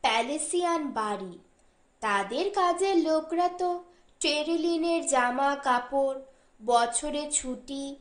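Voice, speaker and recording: A humane, mild older brother-in-law in an audiobook story, played by a woman narrator. He reasons gently and takes his time over the words. The recording has a noticeable room echo. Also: high voice. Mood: reasoning.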